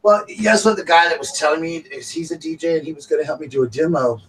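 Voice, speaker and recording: Man, Southern US accent, raspy, casual live-stream host, distant mic, faint background noise. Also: on the high side, fast talker, a bit bright. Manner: chatty, breaks off to call his dogs.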